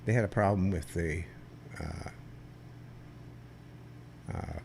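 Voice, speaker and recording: Older man, very deep, gravelly, rambling storyteller, faint hiss behind. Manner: rambling, halting, with many restarts; loud voice that carries. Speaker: guest, Vietnam veteran. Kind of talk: casual storytelling interview.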